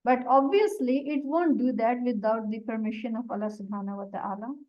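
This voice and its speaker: A woman whose first language is Urdu, teaching a Quran lesson and explaining Arabic words one by one in a deliberate way. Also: a little high. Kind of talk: slow explanatory religious lesson. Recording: dull lo-fi recording.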